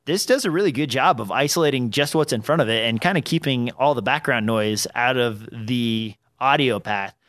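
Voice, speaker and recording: A man's voice: mid-range voice, moderate pace, few pauses, fairly bright tone, dry recording. A man with a slightly nasal voice hosting a casual podcast, rambling with fillers, close on a dynamic studio mic. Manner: rambling, with many fillers and restarts. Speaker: podcast host. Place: small home studio. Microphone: studio dynamic microphone on an arm.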